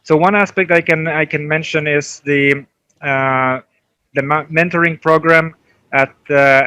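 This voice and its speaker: A man's voice: medium pitch, sharp tone, smooth, no echo. A man from Finland speaking on a conference panel as a technical advisor, hesitant with many fillers and restarts.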